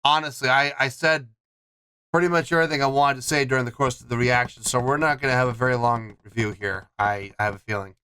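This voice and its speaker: A man's voice: mid-range voice, steady tone, fast talker, sharp tone, smooth, no room sound. A man hosting a music reaction channel, giving a casual song review and often repeating himself for emphasis. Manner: repeats and restarts phrases for emphasis.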